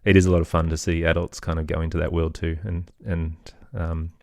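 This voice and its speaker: A man's voice: sits low, flat delivery, fairly quick, creaky, no echo, studio quality. A man with an Australian accent, chatting casually as an interview guest about his work. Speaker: interview guest, children's podcast creator.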